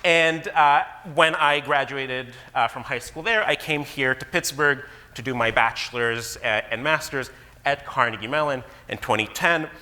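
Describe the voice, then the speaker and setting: A man in his thirties, originally from Israel, giving a casual, animated conference talk as a guest speaker. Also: mid-range voice, moderate pace. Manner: casual, animated presenter with fillers. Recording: long hall reverb.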